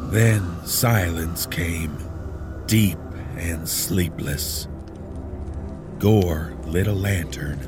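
A man with a lowish voice narrates a horror story slowly and gravely, letting each ominous line sink in. Music plays steadily underneath his reading. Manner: measured, grave, ominous delivery. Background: background music.

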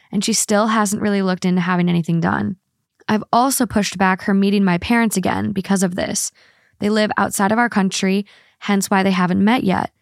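Woman co-host, average pitch, dry close sound, reads posts aloud steadily. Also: dry recording. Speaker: podcast co-host. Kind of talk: casual chat, reading posts aloud.

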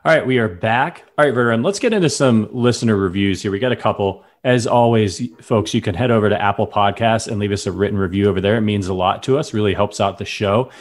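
A man's voice: lowish voice, quick pace, few pauses. A man hosting a sports podcast in a casual, conversational way, steering the talk and reading listener mail. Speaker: podcast host. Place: lives in Chicago.

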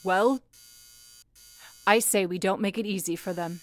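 A woman playing a calm, commanding telephone switchboard supervisor in an old-time radio play, with a deliberate, authoritative way of speaking. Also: medium pitch, lightly acted. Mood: firm, organizing. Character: calm, commanding female telephone operator supervisor in wartime-like crisis.

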